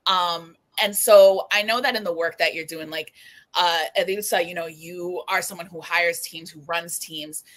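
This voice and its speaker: A woman's voice: on the low side, fairly even intonation, normal speed, a bit bright, rough recording. A woman moderating a panel over a video call, friendly and casual.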